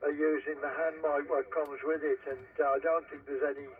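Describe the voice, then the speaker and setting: Male radio operator, casual and rambling, flat intonation, heard over a narrow, far-off radio transmission. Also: fairly high pitch, moderate pace. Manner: repetitive, rambling. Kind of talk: casual radio contact chat. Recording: muffled, distant CB radio signal.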